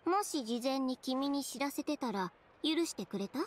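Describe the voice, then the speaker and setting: Cool, proud young designer, high-voiced. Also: female voice, lively intonation, normal speed, a little hiss or hum. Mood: dry, teasing. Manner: confident, dry, teasing. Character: self-assured, blunt young female fashion designer, cool and proud. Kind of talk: anime video game dialogue. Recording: game music behind the voice.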